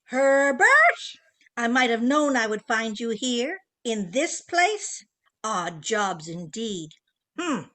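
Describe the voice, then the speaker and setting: Snobby bossy older mom in a radio comedy, high swoopy voice, very disdainful. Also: female voice, sing-song, slow pace, pushed and bright, exaggerated voice. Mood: disapproving, haughty. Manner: imperious, disdainful. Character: haughty, domineering older society mother, disapproving and melodramatic. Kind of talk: comic old-time radio play acting.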